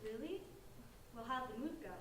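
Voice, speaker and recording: A woman's voice: fairly high pitch, expressive, moderate pace, fairly bright tone. Woman playing a frank teenage girl, very echoey stage. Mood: sympathetic. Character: sixteen-year-old girl, protective older sister, curious and frank. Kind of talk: staged play reading. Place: large echoey indoor room, distant microphone. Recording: echoey room from far off, faint noise.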